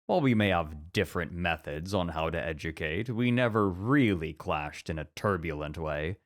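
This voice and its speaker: Low-voiced, thoughtful male academic played by an actor, composed and formal, for ASMR roleplay. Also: expressive, moderate pace. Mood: reflective. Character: composed, introspective, scholarly male college teacher.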